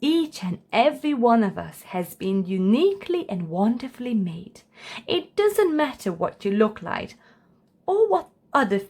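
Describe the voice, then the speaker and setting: A woman's voice: on the high side, very animated, unhurried, darkish tone, husky. A woman storyteller reading a children's book aloud as scripted narration.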